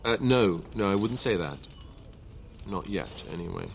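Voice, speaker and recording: An actor playing a wry, earnest, boyish warrior companion in a fantasy video game, with an informal way of talking. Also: male voice, sits low, lively intonation, unhurried, vocal fry, a little hiss or hum, full character voice. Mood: wry, doubtful.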